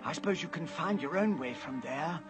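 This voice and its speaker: An actor playing a timid, guilt-ridden but kindly faun in an animated fantasy, anxious and often hushed. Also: mid-range voice, fairly quick, music in the background, natural voice. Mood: hushed, fearful. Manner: hushed, anxious, expressive, trailing into whispers. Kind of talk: animated fantasy film acting.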